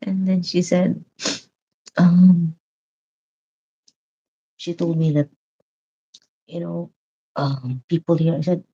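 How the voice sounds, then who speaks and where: A woman from the Philippines chatting loudly and casually with live viewers, laughing often. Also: fairly low pitch, fairly even intonation, slow, pauses often, breathy edge, lo-fi sound. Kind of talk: casual live-stream chat.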